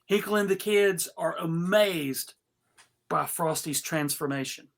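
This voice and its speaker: A woman's voice: fairly low pitch, unhurried. A woman reading stage directions as narrator in a live, comedic script read.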